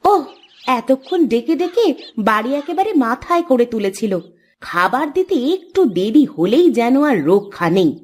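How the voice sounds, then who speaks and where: Woman playing a high-voiced grumbling village housewife. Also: big pitch swings. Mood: exasperated. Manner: complaining, brisk domestic scolding. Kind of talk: cartoon dialogue.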